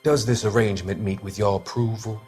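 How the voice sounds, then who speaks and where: An actor playing a calculating doctor in a video game, speaking in a measured, formal, patronizing way. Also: male voice, lowish voice, steady tone, a little mellow, faint music behind, lightly acted. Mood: smooth persuasion. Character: smooth, calculating male doctor, formal confidant and schemer. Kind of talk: video game dramatic acting.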